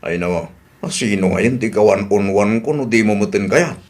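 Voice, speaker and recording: A man acting a stubborn, deep-voiced drinking buddy. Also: moderate pace, darkish tone, lightly acted. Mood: needling. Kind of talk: Ilocano radio drama acting.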